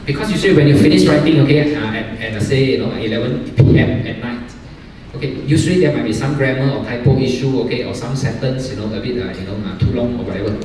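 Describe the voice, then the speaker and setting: A man's voice: medium pitch, fast, darkish tone. Malaysian-raised man, second-language English, workshop lecturer full of "okay"s, distant mic in a big echoing hall. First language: not English. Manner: frequent "okay" and "you know" fillers, coaching tone. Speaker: workshop speaker, journal editor. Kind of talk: academic workshop talk. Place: large university hall. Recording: echoey hall, distant mic, faint noise.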